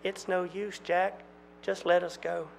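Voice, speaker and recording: Twin country girls with a drawl, quiet and reproachful, never raising their voices, as a male storyteller puts them on. The voice is low-key and a little gravelly, slightly high for a man. A faint hum runs under the reading. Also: little pitch movement, a little slow. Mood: resigned.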